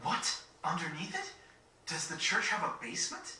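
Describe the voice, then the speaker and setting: A man's voice: fairly high pitch, huge pitch swings, normal speed, sharp tone, a little hiss or hum, exaggerated voice. A man voicing a cocky, sarcastic, easygoing teenage boy in a video game, with a flippant manner. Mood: puzzled. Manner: flippant, dismissive. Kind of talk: video game cutscene voice acting. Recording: echoey, slightly distant game audio.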